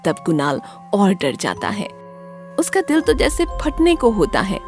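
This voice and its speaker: A woman narrating a scripted horror story in a dramatic, deliberate storytelling manner. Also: medium pitch, wildly swooping pitch, darkish tone, soft background music.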